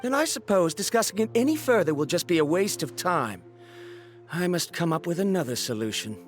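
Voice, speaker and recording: A man's voice: high-pitched, very animated, fairly quick, soft background music. A man playing an obsessive, socially awkward young male scholar in a video game, formal and blunt. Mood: earnest, insistent. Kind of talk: video game character acting.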